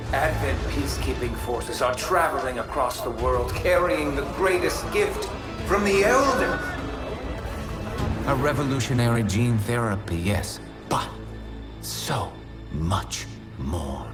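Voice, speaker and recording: An actor playing a solemn, persuasive political leader giving a measured, grandiose address to citizens in a video game. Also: male voice, fairly high pitch, distant mic. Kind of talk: scripted public address.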